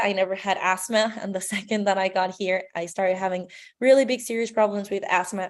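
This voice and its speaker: A young woman from Colombia giving earnest, pleading public testimony at a hearing. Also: medium pitch. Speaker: public commenter.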